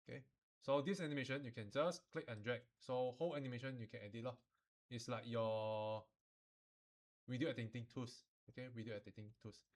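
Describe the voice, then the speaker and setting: A man's voice: mid-range voice, steady tone, a little fast. Man with a Malaysian or Singaporean accent, teaching. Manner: colloquial phrasing with sentence-final particles like lah and lor. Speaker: animation software instructor. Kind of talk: informal step-by-step software tutorial.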